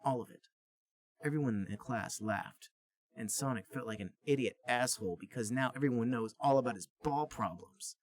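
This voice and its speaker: A man's voice: mid-range voice, moderate pace. A man hosting a comedy podcast, narrating a story aloud in a plain storybook manner. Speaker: podcast host reading a story. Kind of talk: comic read-aloud narration.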